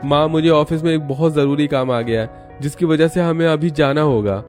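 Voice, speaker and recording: A man puts on a young husband in a cartoon family drama. He is dutiful and reluctant, pitched well above the narrator's usual low register. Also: normal speed, a little mellow, faint music behind, close to an everyday voice. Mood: earnest. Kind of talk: cartoon story dialogue.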